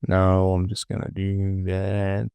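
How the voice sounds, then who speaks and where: A man casually presenting a software tutorial, talking to himself as he works and repeating short phrases. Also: low-pitched, completely flat delivery, takes it slowly, vocal fry, clear, resonant tone, dry recording.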